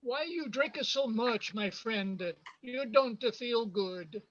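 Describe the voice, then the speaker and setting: Guy doing worried immigrant bartender, really high voice, stammery broken english, radio play, muffled audio. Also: slow, fairly bright tone, exaggerated voice. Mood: concerned, pleading. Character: warm, anxious immigrant barkeeper. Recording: dull lo-fi video call audio.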